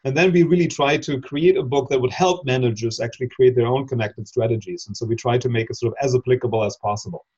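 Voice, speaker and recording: A man explains business research as a guest, measured and thoughtful, leaning on fillers. His voice is a bit husky. The sound is dull and lo-fi, like a remote connection. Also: mid-range voice, a little mellow. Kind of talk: explaining in an interview.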